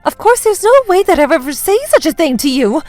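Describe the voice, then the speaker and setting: A woman's voice: high voice, sing-song, normal speed, dry recording, pitched above the performer's own voice. A woman voicing a young daughter-in-law with an exaggerated polite, innocent, plaintive manner in a narrated family story. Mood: feigned innocence.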